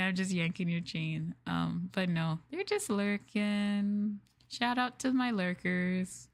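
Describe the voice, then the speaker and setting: A woman livestreamer chatting casually with viewers in a gentle, hushed, soft-spoken ASMR style, close to the microphone. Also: lowish voice, no echo. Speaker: VTuber livestreamer.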